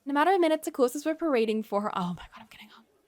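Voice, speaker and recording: A woman game streamer reading a visual novel aloud in an expressive storytelling way, with casual asides. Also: sits high, racing, no echo.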